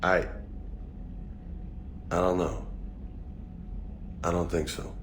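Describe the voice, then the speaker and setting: An actor playing a casual, easygoing but burdened soldier in a video game, with informal, slangy speech. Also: male voice, deep voice, level tone, extremely slow, a little hiss or hum. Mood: earnest. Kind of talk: conversational video game acting.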